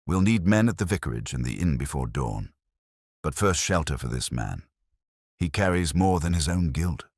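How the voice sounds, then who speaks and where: Man performing commanding male detective, very deep pitch, husky, crisp and clipped, dry precision, audiobook mystery. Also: lively intonation, moderate pace, no echo, exaggerated voice. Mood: commanding, analytical.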